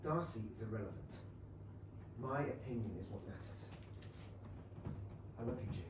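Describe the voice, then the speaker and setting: A man playing an earnest, awkward young gentleman suitor, sincere and halting, in a staged reading of a period play. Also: mid-range voice, expressive, natural voice. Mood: earnest, lovestruck. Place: small theatre stage, before an audience. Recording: echoey theatre, distant, loud noise.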